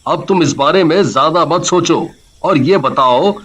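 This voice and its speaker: Man acting father, very slow delivery, higher pitch, easygoing, reassuring, horror storybook. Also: fairly bright tone, slightly rough. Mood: affectionate. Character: easygoing husband and father, gently dismissive.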